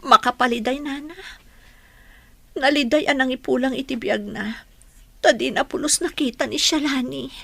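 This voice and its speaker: High-voiced, anxious young village woman. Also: moderate pace, creaky, pauses often, fairly bright tone, dry recording, heavily acted. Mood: grieving. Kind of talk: radio drama acting. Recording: faint music behind the voice.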